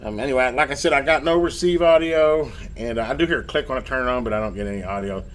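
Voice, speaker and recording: A man's voice: mid-range voice, quick pace. A middle-aged man chatting casually as he does repairs, rambling along in a folksy, self-deprecating way. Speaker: electronics hobbyist and repair video host. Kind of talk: casual workbench chat while repairing. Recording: clear background noise.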